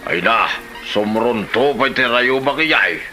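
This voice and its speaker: A man's voice: mid-range voice, measured pace, over music, natural voice. A man acting a stubborn, grumbling older father in an Ilocano comedy drama, plain-spoken.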